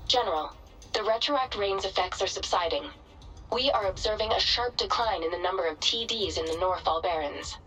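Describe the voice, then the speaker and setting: An actress playing a businesslike military operator in a game cutscene, delivering a formal report. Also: female voice, mid-range voice, moderate pace, a bit bright, faint music behind, natural voice, low-quality recording. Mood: alert, factual. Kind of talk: dramatic game cutscene acting.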